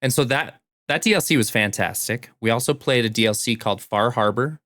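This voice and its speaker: A man's voice: medium pitch, a little fast, a bit bright, no echo. A man co-hosting a podcast, talking informally about games in a conversational way, close to the microphone. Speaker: podcast co-host. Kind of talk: casual gaming podcast chat.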